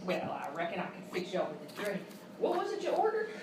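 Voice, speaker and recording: Woman acting frontier barmaid, brash, no-nonsense, animated intonation, radio parody, heavily echoing room. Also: mid-range voice, fast talker. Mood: curt. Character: brash, no-nonsense female Old West saloon keeper. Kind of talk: live radio Western parody. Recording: very echoey live stage, distant, noisy.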